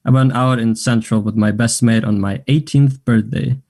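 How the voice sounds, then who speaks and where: Actor playing a confident, mellow, flirty man. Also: medium pitch, fairly even intonation, moderate pace, lightly acted. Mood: teasing, forward. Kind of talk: table read of a stage play.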